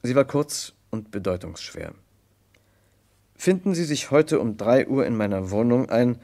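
An actor playing an earnest, troubled gentleman who narrates an old German radio crime play in a careful literary manner. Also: mid-range voice, animated, creaky. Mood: grave, narrating. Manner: measured, literary storytelling, hesitant in dialogue. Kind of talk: mid-20th-century German radio crime drama narration and acting.

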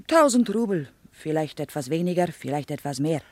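An actress playing a proud, guarded Soviet woman interpreter who speaks halting German as a native Russian speaker in a radio drama. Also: low voice, very animated, darkish tone, no echo. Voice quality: foreign-accented, halting syntax. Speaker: interpreter and guide.

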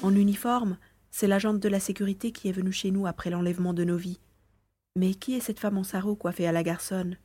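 A woman's voice: mid-range voice, a little fast, lightly acted. Woman playing an anxious, loyal lookout. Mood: cautious. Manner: expressive, worried, thinking aloud. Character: anxious, loyal partner watching and guiding remotely. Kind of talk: French-language audio drama dialogue and monologue.

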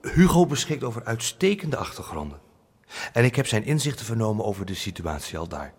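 A man's voice: lowish voice, sing-song, fairly quick, breathy edge, full character voice. A man playing a flustered, placating senior politician in a Dutch radio drama, formal and hesitant, repeating himself in an echoing hall. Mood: formal, evasive. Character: smooth-talking, flustered senior male politician. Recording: long reverb of a large hall.

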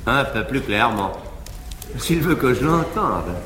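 A man's voice: medium pitch, very animated, a bit bright. A haughty libertine nobleman, echoing stage. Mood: cool disdain. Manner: cool, haughty, measured declamation. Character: proud, defiant, cynical libertine nobleman. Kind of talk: classical French theatre acting. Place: large theatre stage before an audience. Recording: echoey 1950s live theatre recording, faint noise.

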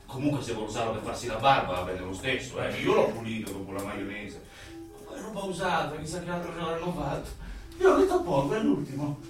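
An actor playing a cheerful young junk-shop assistant who rambles and jokes colloquially in a radio drama. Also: male voice, medium pitch, sing-song, fast talker, close to an everyday voice. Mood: casual. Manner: colloquial, rambling, jokey salesman's chatter. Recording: very echoey, distant, faint music behind.

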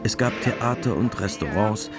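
A man narrating a scripted radio drama in a deadpan, matter-of-fact way. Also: on the low side, normal speed, over loud music, natural voice.

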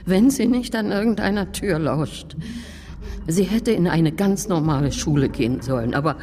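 A woman's voice: on the low side, lively intonation, moderate pace, long reverb tail, a crowd in the background, lightly acted. A woman playing a fretful, gossipy old family nanny in a German radio play, homely and grumbling.

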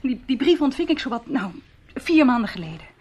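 A woman's voice: sits high, big pitch swings, a little fast, a little mellow. An actress in a Dutch radio play playing an anxious, fragile woman who speaks hesitantly and repeats her words. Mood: troubled, anxious. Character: anxious, fragile stage actress, sister of a missing man.

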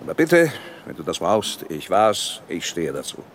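Ruthless old boss, animated delivery. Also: male voice, low voice, normal speed, slight background noise. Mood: defiant, cold. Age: older. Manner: forceful, rhetorical, self-justifying. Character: ruthless old company boss, defiant and contemptuous. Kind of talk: German radio drama acting.

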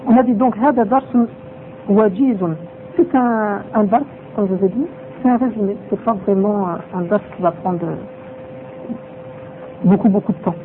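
A man's voice: sits high, normal speed. A man giving a religious lesson who drops in Arabic phrases and repeats his points for emphasis. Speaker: religious teacher. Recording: tinny phone-like sound with faint noise.

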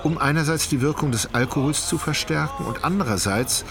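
An actor as a detached, wry literary narrator, reading ornate prose in a measured, ironic way for a radio drama. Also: male voice, fairly high pitch, lightly acted. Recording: noisy outdoor ambience with crickets.

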